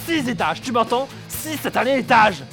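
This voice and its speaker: Man playing gravelly grumbling Anger. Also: very high-pitched, sing-song, normal speed, a bit bright, soft background music, heavily acted. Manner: grumbling, ranting. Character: grumbling resentful male Anger emotion.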